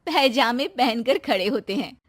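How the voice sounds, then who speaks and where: A woman's voice: a little high, animated, a little slow, dry recording. A woman narrating a scripted story.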